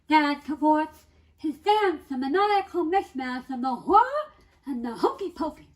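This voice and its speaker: A woman with a strikingly high voice performs a comic monologue in a theatrical style. Her pitch swings widely from phrase to phrase. She takes her time, leaning on dramatic, emphatic pauses. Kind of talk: theatrical first-person comic monologue reading.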